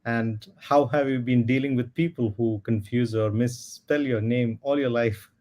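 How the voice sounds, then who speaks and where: A man's voice: medium pitch, fairly even intonation, unhurried, darkish tone. A man hosting an interview podcast, asking questions in a friendly, slightly hesitant way. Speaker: podcast host.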